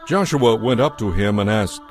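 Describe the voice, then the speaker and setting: Low-pitched man narrating scripture solemnly. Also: expressive, normal speed. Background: background music.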